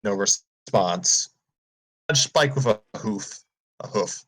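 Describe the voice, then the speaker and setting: A man's voice: lowish voice, lively intonation, slow, a bit bright. A man narrating a story aloud informally, sometimes stumbling and repeating phrases. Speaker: story reader on a livestream.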